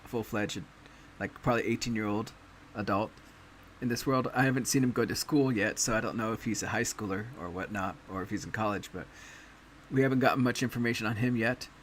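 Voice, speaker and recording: A man hosting a casual anime chat, talking in a relaxed way with many fillers and pauses. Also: lowish voice, a little hiss or hum. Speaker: podcast host.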